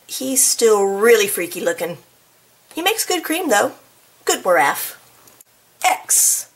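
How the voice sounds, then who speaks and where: Husky woman reading a picture book expressively. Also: on the high side, slow pace. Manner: expressive, playful, casual commenting. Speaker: storybook reader. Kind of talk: read-aloud of a picture book with chatty asides.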